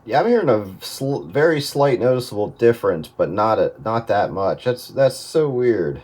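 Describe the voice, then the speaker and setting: A man's voice: medium pitch, very animated, moderate pace, noisy background. A man in a casual technical chat over the radio, advising on an audio setup in a hesitant, thinking-aloud way.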